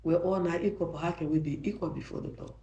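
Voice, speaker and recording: An older woman with a Liberian accent and a soft voice, a judge answering interview questions earnestly, often restarting her sentences. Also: sits low, wildly swooping pitch, quick pace, creaky, lo-fi sound, a little hiss or hum. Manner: earnest, frequent restarts, grows emphatic. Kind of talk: interview answers, reflective storytelling. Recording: roomy echo.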